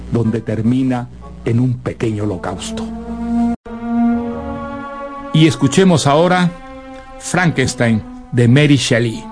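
Male presenter, medium-pitched voice, deliberate phrasing, scripted literary narration, soft music behind. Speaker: presenter of literary radio series.

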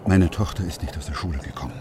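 An actor playing a secretive, worried brain surgeon father who speaks in an urgent, hushed, pleading way. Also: rumbling low, fast talker, noisy background.

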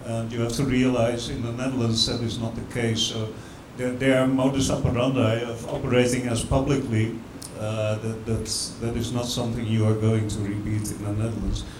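A man with a mid-range voice speaks hesitantly, pausing on "uh"s as he makes his point. His voice echoes around a large room. Faint background noise runs underneath. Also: mid-range voice, little pitch movement. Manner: hesitant, many "uh"s. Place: event venue.

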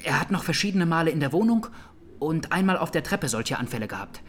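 An actor playing a reserved young trial witness in a radio drama, answering tersely and matter-of-factly. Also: male voice, a little high, very animated, fast. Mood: reserved, factual. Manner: terse, careful, matter-of-fact. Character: Armenian consulate secretary of twenty-three, reserved trial witness.

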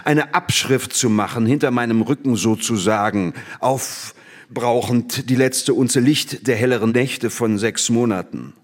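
An actor playing an old, half-blind captive scientist in a stage drama, speaking in deliberate, ironic, measured phrases. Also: male voice, mid-range voice, close to an everyday voice. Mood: sly, ironic.

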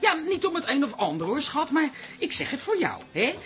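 A woman's voice: high-pitched, big pitch swings, brisk. An actress playing a chatty, theatrical woman who gushes and dithers in a radio drama. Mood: wheedling. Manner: gushing, dithering, wheedling.

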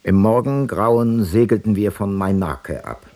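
A man's voice: lowish voice, unhurried, darkish tone. An actor playing an ancient Greek seafarer, a sober commander reading his logbook tersely in a radio play. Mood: sober, measured. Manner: terse, matter-of-fact.

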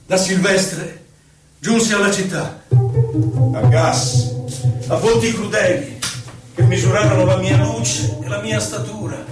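A man's voice: sits high, unhurried, long pauses. A man giving a solemn, theatrical poetry recital for radio, stretching out his phrases dramatically. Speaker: poetry performer. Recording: echoey distant recital under loud music. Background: loud music.

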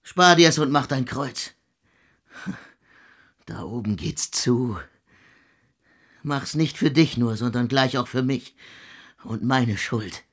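Anguished composer, husky, slow delivery, bitter, archaic, declamatory, radio drama. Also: male voice, mid-range voice, fairly bright tone. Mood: broken, guilt-ridden. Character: anguished, embittered male composer, cursing and self-accusing in grief. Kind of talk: literary radio drama acting.

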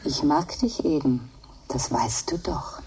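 An actress playing a placid, devoted female computer in a German radio drama, speaking in a measured, deliberate way. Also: sits low, warm tone, husky, distant mic, heavily acted, rough recording. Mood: calm, probing.

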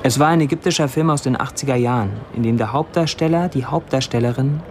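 A man's voice: mid-range voice, quick pace, noisy background, close to an everyday voice. An actor playing a wry man of twenty-nine who reads his blunt first-person posts in a dry radio drama monologue. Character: wry 29-year-old Syrian man narrating his blunt online posts.

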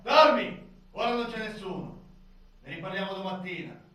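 Casting: brusque prison guard, high-pitched barking delivery, stage drama, very echoey room. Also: male voice, lively intonation, normal speed. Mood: harsh, dismissive. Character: harsh, dismissive male prison guard shouting at an inmate. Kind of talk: stage theatre acting. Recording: very echoey, distant, loud background noise.

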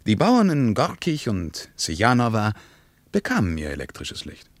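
An actor playing an argumentative, ironic intellectual in a German radio play, speaking emphatically with rhetorical pauses. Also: male voice, lowish voice, huge pitch swings, dry recording. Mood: emphatic, ironic. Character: male traveller, argumentative, ironic intellectual debating politics.

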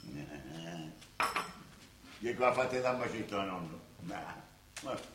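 A man's voice: fairly low pitch, expressive, slow. An actor playing a stubborn, grumbling old grandfather with a Roman accent in a radio play. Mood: wheedling. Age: older. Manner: grumbling, wheedling. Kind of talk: radio theatre acting in dialect. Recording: roomy, faintly noisy stage recording.

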